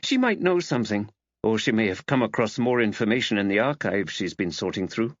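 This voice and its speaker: A man voicing a thoughtful, easily dismayed woman writer in earnest, expressive audiobook dialogue. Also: fairly low pitch, a little fast, no echo, lo-fi sound. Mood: curious, matter-of-fact.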